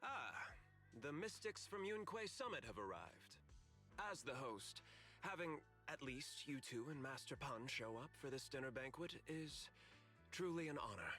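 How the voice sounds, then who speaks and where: Polished, bright-voiced corporate manager, lively intonation. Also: male voice, mid-range voice, normal speed, faint music behind. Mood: gracious, welcoming. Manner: polished, formal, diplomatic. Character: smooth, courteous male corporate manager hosting a banquet. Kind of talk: scripted video game voice acting.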